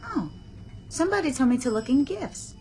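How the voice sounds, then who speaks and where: Flustered young woman, high voice, polite, hesitant, earnest, radio play with music behind. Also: sing-song, moderate pace. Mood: curious, polite. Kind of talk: radio drama acting.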